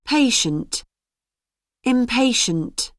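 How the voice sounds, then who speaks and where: A woman reading vocabulary pairs aloud slowly and deliberately for a language lesson. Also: fairly high pitch, expressive, dry recording. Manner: slow, deliberate word-by-word reading. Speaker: word-list reader. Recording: dry studio recording.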